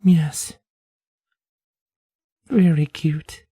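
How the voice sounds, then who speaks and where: A man voicing a cautious, pragmatic female pony who is protective and wry, speaking in a plain, measured, slightly formal way for a storybook reading. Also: a little high, fairly even intonation, mellow, breathy edge, dry recording, clean studio sound, pitched above the performer's own voice, full character voice. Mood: tender.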